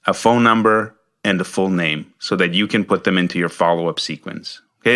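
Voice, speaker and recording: Forceful low-voiced man coaching. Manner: emphatic, instructive, repeats key points for stress. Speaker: podcast host and business coach. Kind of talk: instructional business coaching monologue.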